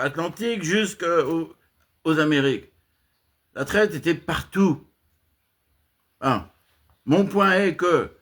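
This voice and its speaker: A fairly high-voiced man holds forth in a heated monologue for his channel. He lurches between emphatic, raised bursts and low, muttered asides. His sentences often stop and restart as he hunts for the point. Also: fairly bright tone. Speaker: channel host giving a monologue. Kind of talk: impassioned spoken rant.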